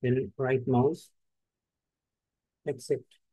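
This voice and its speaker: A man with an Indian English accent giving terse, step-by-step instructions in a screen-recorded software tutorial. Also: medium pitch, steady tone, drawn-out words, slightly rough, no room sound.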